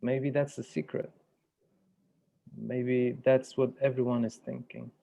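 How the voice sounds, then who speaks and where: A man facilitating a workshop, speaking in a measured, deliberate way, like someone guiding a group through an exercise. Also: mid-range voice, little pitch movement, gravelly, mellow. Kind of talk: guided meditation and workshop facilitation. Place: virtual workshop over video call.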